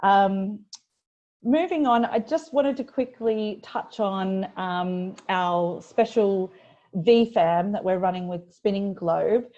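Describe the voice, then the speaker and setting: A woman's voice: mid-range voice, slow pace, mellow. A woman hosting a webinar in a friendly way with many fillers, speaking over a video call. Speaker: webinar host. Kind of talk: webinar presentation. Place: office in the United Kingdom. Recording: dull lo-fi video call audio.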